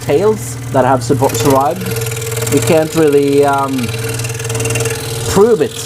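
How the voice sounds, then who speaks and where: A man from Norway with a Norwegian accent, chatting casually on a live stream in halting English full of fillers and restarts. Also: on the high side, noisy background. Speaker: woodworking streamer.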